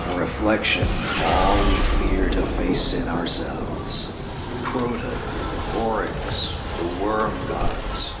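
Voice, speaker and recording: Cryptic old warrior spirit, low voice, very slow, grave and theatrical, menacing, video game cutscene. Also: male voice. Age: older. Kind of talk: scripted dramatic game voice acting. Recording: roomy reverb, noisy game ambience.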